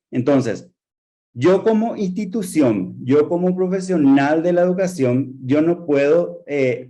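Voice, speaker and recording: A man's voice: medium pitch, warm tone. Earnest Paraguayan man lecturing teachers. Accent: Paraguayan Spanish. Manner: earnest, rhetorical questions, measured emphasis. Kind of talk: academic talk to teachers.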